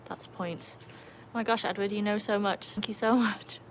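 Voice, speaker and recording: An English-accented woman hosts a relaxed livestream outdoors beside a pool, chatting freely and laughing often as she reads out comments. Her voice sits at a mid pitch for a woman, and a faint outdoor hum runs underneath. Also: normal speed. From England. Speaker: livestream host. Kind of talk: casual livestream chat.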